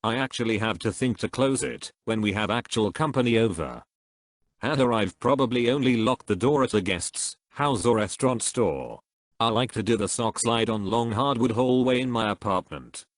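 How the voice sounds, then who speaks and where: A man reading online comments aloud in an even, steady way. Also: medium pitch, moderate pace, dry recording.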